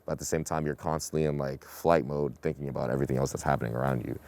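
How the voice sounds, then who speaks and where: A young man from Boston talking in a relaxed way in a casual group discussion, with many "like" fillers. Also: extremely low pitch, racing, gravelly. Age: young adult. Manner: relaxed, talks in long runs with many "like" fillers. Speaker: student panelist.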